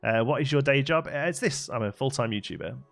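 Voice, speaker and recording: A man's voice: mid-range voice, a little fast, vocal fry, faint music behind. Chatty West Midlands man streaming a game. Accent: West Midlands English. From Staffordshire, England. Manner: chatty and easygoing, frequent restarts and fillers. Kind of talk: casual live-stream chat, reading and answering chat. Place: home streaming room with green screen.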